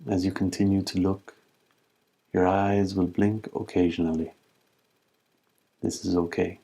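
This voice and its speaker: A man leading a guided meditation as a teacher, speaking calmly and in measured phrases with long pauses. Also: deep voice, gravelly.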